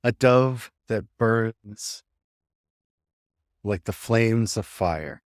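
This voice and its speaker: A man leading a guided meditation, giving gentle instructions in short phrases with unhurried pauses. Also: on the low side, dry recording, studio quality.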